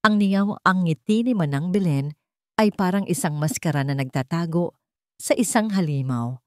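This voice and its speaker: A woman's voice: fairly low pitch, animated, moderate pace, no echo, studio quality. A woman reading a suspenseful story as a scripted, dramatic narration.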